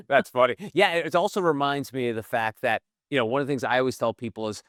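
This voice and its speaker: A man hosting a podcast asks questions and chats in a relaxed, conversational way, with frequent fillers. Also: mid-range voice, brisk, dry recording, clean studio sound. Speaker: podcast host. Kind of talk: casual interview chat.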